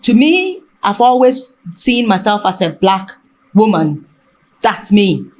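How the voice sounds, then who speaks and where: A woman talking reflectively in conversation, haltingly and often rephrasing mid-sentence. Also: mid-range voice, sing-song, darkish tone. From Ghana.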